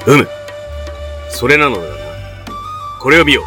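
A man's voice: mid-range voice, animated, bright, forceful tone, faint music behind. Man playing a lordly, stern feudal patron. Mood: pleased, lordly. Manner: lordly, archaic, measured speech. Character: dignified male feudal lord, stern but caring patron. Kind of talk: Japanese period audio drama.

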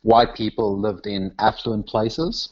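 A man with a South African accent giving reflective, conversational interview answers in a thoughtful, halting way that rephrases as it goes. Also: fairly low pitch, lo-fi sound. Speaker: interview guest, touring comedian.

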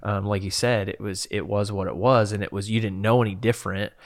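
Low-voiced thoughtful co-host. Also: male voice, flat delivery, fairly quick, creaky. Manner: thoughtful, rambling with fillers. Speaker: podcast co-host. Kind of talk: casual conversation.

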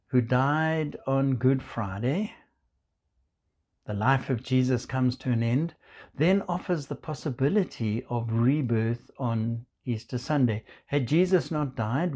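A man with a South African accent, preaching a measured sermon and quoting scripture. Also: medium pitch, dark tone. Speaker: pastor.

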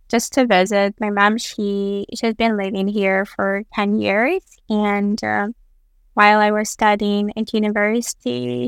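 A woman's voice: mid-range voice, vocal fry, clean tone, no room sound, clean studio sound. A young woman from Central Asia, speaking English as a third language, chatting casually as an interview guest. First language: not English, which is a third language. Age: young adult. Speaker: podcast guest.

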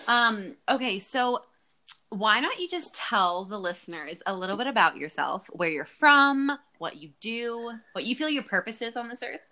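A woman's voice: a little high, expressive, moderate pace, sharp tone. A young woman hosting a podcast, chatting casually and giggling a lot over a video call. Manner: bubbly, giggly, lots of "like". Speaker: podcast host. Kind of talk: casual chat.

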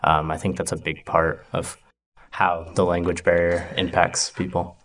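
A young man from the United States speaks with a deep, low voice. He talks in a relaxed, unhurried podcast chat, pausing on "um" and "like" as he thinks. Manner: laid-back, hesitant with "um" and "like". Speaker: guest.

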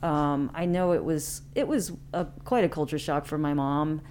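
An American woman in her thirties with a notably low voice tells personal stories as an interview guest. She speaks informally, thinking aloud as she goes. There is a faint hum in the recording. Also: normal speed, darkish tone. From Independence, Missouri, United States. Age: late 30s. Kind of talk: casual chat, personal storytelling.

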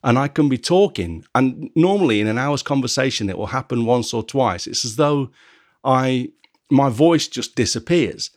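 A man's voice: mid-range voice, expressive, dry recording. A man talks with an old friend in a loose, unscripted conversation. His voice sits in an ordinary middle range, and he often stops and restarts while hunting for the right word. The recording is close and dry, with no room sound around him. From England. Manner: halting, often pausing to search for words. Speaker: podcast host. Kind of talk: casual conversation.

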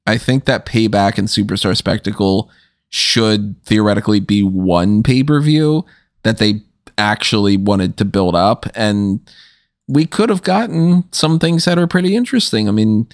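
A man with a low voice co-hosting a casual podcast chat. He talks with animated upward swoops in pitch. The sound is dry and close to the mic. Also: normal speed, dry recording. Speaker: podcast co-host.

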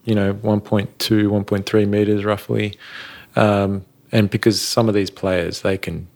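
A man talks shop as a guest in a casual interview. His voice sits low with a gravelly edge. He rambles easily and laughs often. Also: flat delivery, a little slow, a little mellow. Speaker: sound engineer guest.

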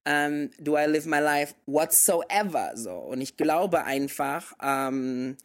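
A man's voice: a little high, slow pace. Guy in his 30s chatting away, german speaker who drops into english bits a lot, loose and talky. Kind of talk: casual conversation.

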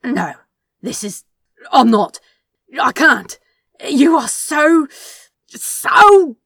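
A woman voicing a volatile, sharp-tongued male demon who shouts and swears emphatically. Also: lowish voice, very animated, slow, reverberant, distant mic, heavily acted. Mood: frantic, furious.

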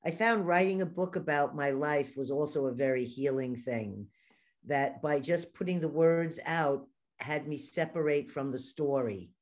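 New york lady chatting on a podcast, kinda low voice, laughs a lot. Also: unhurried, darkish tone. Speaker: podcast host. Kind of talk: casual interview conversation.

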